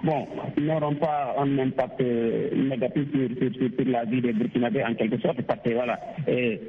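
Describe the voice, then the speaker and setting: A man's voice: mid-range voice, steady tone, moderate pace. A man calling a radio show, giving a reasoned political opinion with hesitations and repeated phrases. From Senegal. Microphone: phone. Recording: tinny phone line with clear noise.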